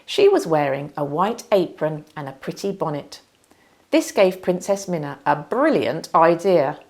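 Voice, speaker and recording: A woman narrates a children's storybook with highly animated intonation. She sits a little low in pitch and leans into dramatic, deliberate emphasis. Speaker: author reading aloud.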